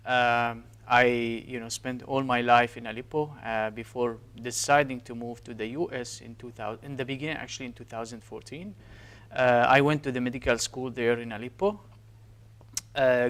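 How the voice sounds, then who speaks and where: A man's voice: mid-range voice, fairly even intonation, normal speed, clear, resonant tone. A man in his thirties from Syria with a Syrian Arabic accent, answering interview questions earnestly with frequent hesitations. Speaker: guest, physician.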